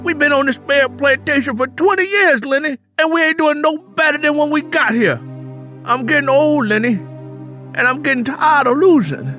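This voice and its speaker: A man plays an aging male sharecropper in an audio drama, worn down and embittered. His coarse, impassioned voice rises well above a normal male pitch, with music behind. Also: normal speed, bright, forceful tone. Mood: weary, impassioned.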